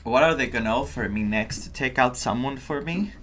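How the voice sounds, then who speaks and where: A man's voice: mid-range voice, a little fast, a bit bright, a little hiss or hum. A man who is not a native English speaker gives casual, reflective live commentary as a game streamer, searching for words.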